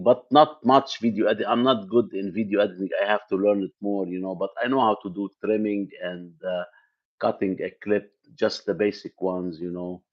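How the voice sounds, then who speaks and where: Brief: male tech host from Lebanon, native Arabic speaker, lowish voice, loose live-stream chat full of "uh" and restarts, muffled lo-fi audio. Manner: rambling, many fillers and restarts. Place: home in the US Midwest.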